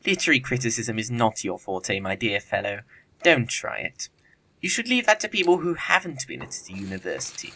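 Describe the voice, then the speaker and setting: A man's voice: medium pitch, big pitch swings, fairly quick, bright, forceful tone, heavily acted. A man playing a flippant, witty young upper-class bachelor in a period comedy audio play, with an arch, theatrical delivery. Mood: smug, witty.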